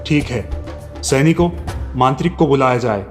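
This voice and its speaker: A man's voice: medium pitch, animated, moderate pace, in a large hall, soft background music, lightly acted. A man voicing a cautious, protective king and father in a storybook tale. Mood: commanding. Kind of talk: storybook dialogue.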